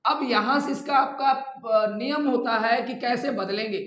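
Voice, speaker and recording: Guy teaching grammar really slowly, repeats stuff for dictation, lots of room echo. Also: extremely high pitch, fairly bright tone. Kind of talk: classroom grammar lesson. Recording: echoey, reverberant room.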